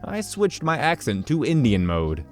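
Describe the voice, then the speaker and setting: Animated man telling a story. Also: medium pitch, a little slow. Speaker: story narrator. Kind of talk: reading an online story aloud. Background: soft background music.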